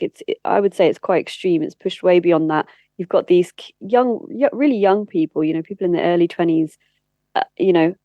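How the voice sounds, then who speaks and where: A woman's voice: fairly low pitch, dark tone, dry recording. A woman speaking candidly and earnestly as an interview guest, explaining things in a conversational way. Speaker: interview guest, campaigner and former spokesperson.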